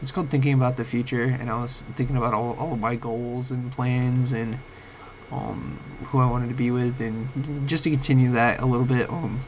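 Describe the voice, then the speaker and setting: A young man with a cold and a cracking voice, talking about his life in a halting, rambling way full of fillers. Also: medium pitch, fairly even intonation, darkish tone, a little hiss or hum, poor audio. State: sick, voice cracking. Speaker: vlogger. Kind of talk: late-night personal talk. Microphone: home microphone, uneven volume.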